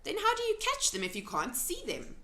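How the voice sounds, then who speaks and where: A woman's voice: extremely high pitch, huge pitch swings, fairly quick, sharp tone, roomy sound, pitched above the performer's own voice, heavily acted. A woman voicing a curious, plucky little girl in a storybook, polite but insistent. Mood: inquisitive. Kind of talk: storybook character reading.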